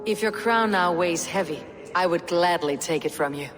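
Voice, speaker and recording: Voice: mid-range voice, moderate pace, big-room sound, over music, close to an everyday voice. An actor voicing a terse, defiant Norse warrior.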